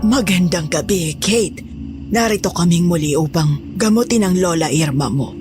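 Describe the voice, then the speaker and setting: A man's voice: sits high, normal speed, over music, pitched above the performer's own voice, close to an everyday voice. A man voicing a pious, commanding faith healer who claims divine power, solemn and declamatory, in a horror story.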